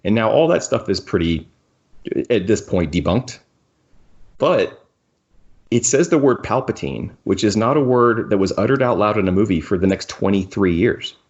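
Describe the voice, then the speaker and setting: A man's voice: on the low side, animated, moderate pace, darkish tone, muffled recording. A man hosting a podcast in casual discussion, often repeating and restarting his words. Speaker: podcast host.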